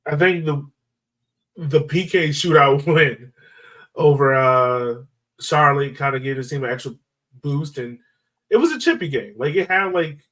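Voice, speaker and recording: A man's voice: a little high, animated, unhurried, a bit bright. A man hosting a sports podcast in casual, chatty, slangy talk. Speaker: podcast host. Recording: voice slightly far from mic.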